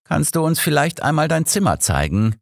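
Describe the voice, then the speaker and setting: A man voicing an old detective in an audiobook, a composed, polite questioner who is soft and quietly persistent. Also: fairly high pitch, very animated, normal speed, vocal fry, dry recording, studio quality, voice pushed up, heavily acted.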